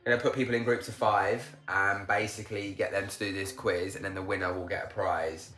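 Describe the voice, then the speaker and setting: A man's voice: low voice, flat delivery, a little fast, pushed and bright. A man, chatting casually in a vlog, a bit congested from a sore throat, upbeat and rambling. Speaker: vlogger.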